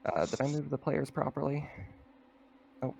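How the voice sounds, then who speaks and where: A man narrating a tabletop roleplaying game as game master, in an informal, halting way. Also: fairly high pitch, steady tone, creaky, darkish tone, a little hiss or hum, poor audio.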